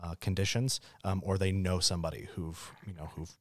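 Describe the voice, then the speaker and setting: A man of twenty-two giving considered, halting answers as a podcast guest. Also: low-pitched, steady tone, no room sound, clean studio sound. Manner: halting, hesitant phrasing with many pauses. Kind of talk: thoughtful casual discussion.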